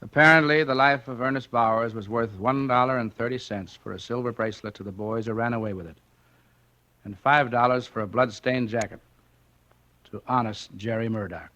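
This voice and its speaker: A lo-fi old radio recording of an actor as a sober American narrator reading a case report. His medium-pitched voice is measured, formal and dryly wry. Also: sharp tone, natural voice. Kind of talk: scripted 1940s radio narration.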